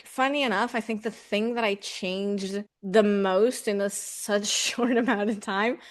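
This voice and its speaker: A woman's voice: medium pitch, steady tone, unhurried. A woman whose first language is Portuguese, a brand designer talking as a guest in a casual chat, with fillers and the odd curse. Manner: chatty, swears casually, thinks aloud with fillers.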